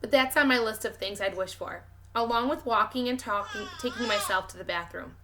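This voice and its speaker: A woman who teaches, reading a children's novel aloud with expressive, clearly phrased narration. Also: mid-range voice, a little fast, noisy background.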